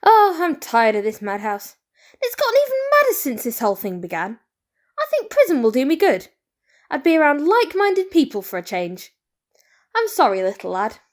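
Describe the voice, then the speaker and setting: High, lively, sardonic murderous baker, played by a woman. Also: normal speed. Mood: wry, resigned. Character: weary, sardonic female baker unmasked as murderer. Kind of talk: amateur audio drama.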